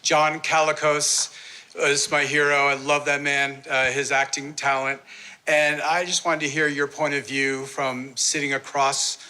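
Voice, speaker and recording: A man's voice: a little high, level tone, measured pace, sharp tone, clean tone. A man asking an informal fan question at a panel in an earnest, enthusiastic way. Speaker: audience member asking a question.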